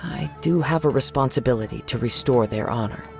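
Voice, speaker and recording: An actor playing a courteous young wandering samurai in a game, speaking formally and politely. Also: male voice, medium pitch, fairly quick, vocal fry, lightly acted. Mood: calm. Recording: game audio with faint music.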